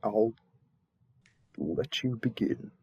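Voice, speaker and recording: Roleplay audio: polite, flustered male envoy, played by a man, stammering and deferential, very unhurried, dry sound. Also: fairly low pitch, gravelly, dark tone, breathy edge, no echo, dull audio, full character voice. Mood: polite, reserved. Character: flustered, polite young royal envoy mistaken for a servant.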